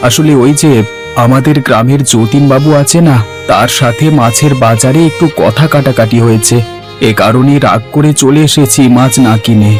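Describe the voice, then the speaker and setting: Cartoon role: actor as humble, plain-spoken poor villager with his pride intact, medium-pitched voice, faint music behind. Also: normal speed, natural voice. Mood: weary, aggrieved. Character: poor, self-respecting village husband, a van driver. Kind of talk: cartoon village drama acting.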